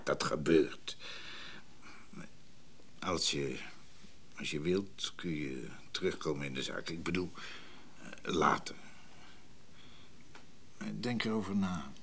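Deep, slow, weary man. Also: vocal fry, a bit bright, a little hiss or hum, full character voice. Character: weary, subdued businessman facing divorce. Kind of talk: Dutch radio play acting.

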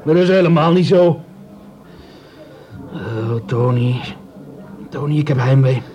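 Over clear crowd noise, an actor plays an earthy, food-loving bricklayer in a radio play. The man has a middling pitch and a plain-spoken, grumbling way that turns wistful or scoffing. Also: measured pace, highs cut off, lightly acted.

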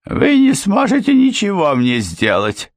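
A man voicing a frightened middle-aged housekeeper in a high, strained, tearful voice. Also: huge pitch swings, a little slow, no room sound, clean studio sound, full character voice. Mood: alarmed. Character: anxious middle-aged female housekeeper, shrill, pleading. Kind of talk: audiobook character voice.

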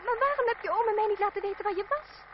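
A woman's voice: extremely high pitch, very fast. An actress playing a haughty, teasing young noblewoman in an old radio drama, with a genteel, theatrical delivery. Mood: sympathetic, polite. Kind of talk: old-fashioned Dutch radio drama acting. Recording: noisy, muffled old radio play recording.

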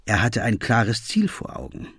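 Detective's loyal companion and narrator: husky, lowish, measured, dry studio recording. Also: male voice, sing-song, dry recording, exaggerated voice. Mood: measured, reflective. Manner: measured, literary storytelling. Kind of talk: scripted radio play narration.

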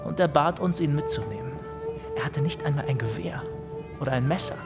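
A short, fat young soldier full of his own importance in a radio drama. His voice sits fairly high for a male, with an emphatic, bossy, naive swagger. Music plays clearly behind him. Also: wildly swooping pitch, fairly quick. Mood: boastful. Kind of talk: German radio play acting.

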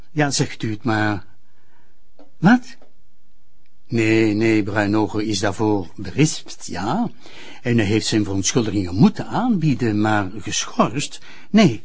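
An actor playing a pompous, touchy public prosecutor in a TV crime drama, officious and formal. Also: male voice, on the low side, husky, exaggerated voice. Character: pompous, touchy male public prosecutor, authoritarian yet obsequious.

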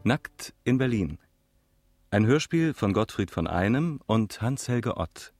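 A man's voice: lowish voice, sing-song, takes it slowly. A man reading the closing credits of a radio play as a scripted announcement. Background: bowed string music, cello.

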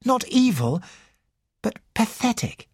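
A female narrator plays a frank woman in an audiobook, earnest and sympathetic, ready to blame herself. Her intonation is lively and her pace unhurried, in a dry recording. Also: on the high side, slightly rough, no room sound, pitched above the performer's own voice, exaggerated voice. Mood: frowning, embarrassed. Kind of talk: audiobook character dialogue.

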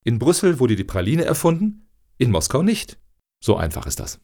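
An actor in a satirical radio play as a wry contrarian troublemaker, provoking dryly with feigned innocence. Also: male voice, mid-range voice, huge pitch swings, normal speed, dark tone. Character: wry contrarian troublemaker among grant applicants.

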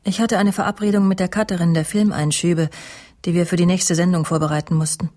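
A woman's voice: on the low side, fairly even intonation, fast talker, warm tone, natural voice. An actress playing a vain, self-assured woman journalist in a radio crime drama, confiding and imperious as she justifies herself. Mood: composed, breezy.

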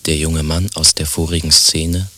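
A man's voice: extremely low pitch, little pitch movement, moderate pace, bright, forceful tone. A man narrating scripted stage directions in a radio play.

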